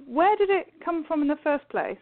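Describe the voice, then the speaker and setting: A woman hosting an interview podcast, chatty and quick with asides. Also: high voice, big pitch swings. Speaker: podcast host. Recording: phone-like call audio.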